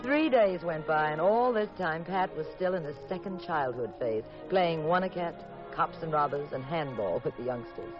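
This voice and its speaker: An actress playing a detective's sharp, teasing wife in a 1950s radio mystery, with an arch, sardonic delivery. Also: female voice, deep voice, expressive, normal speed, loud music behind the voice. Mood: wry narration. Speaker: lead actress and narrator. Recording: muffled vintage radio recording.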